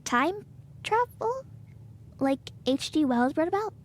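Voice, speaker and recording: A woman's voice: sits high, big pitch swings, slow, full character voice. A woman playing a caring, curious younger sister from 1915 in a period audio drama. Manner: inquisitive, familiar.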